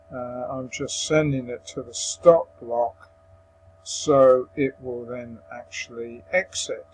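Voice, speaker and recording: A man presenting a software tutorial, explaining step by step in a hesitant way. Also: mid-range voice, low-quality recording. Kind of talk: software screen-recording walkthrough.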